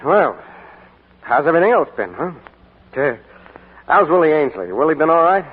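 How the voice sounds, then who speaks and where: Brief: withdrawn young veteran, halting and husky, old lo-fi radio sound. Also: male voice, on the high side, big pitch swings. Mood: wry. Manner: hesitant, halting, stammering. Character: young man back from war, bitter and withdrawn. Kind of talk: 1940s radio acting.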